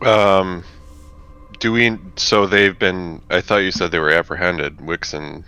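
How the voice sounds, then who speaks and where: Very deep, gravelly male player. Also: steady tone, bright, forceful tone. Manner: halting, hesitant. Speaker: tabletop game player. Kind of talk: casual in-character roleplay and table talk. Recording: dull sound, faint noise.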